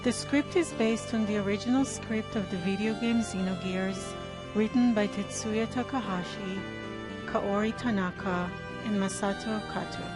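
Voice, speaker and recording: A woman's voice: medium pitch, moderate pace. A woman reading scripted credits as an announcer for an audio drama. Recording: loud music under the voice.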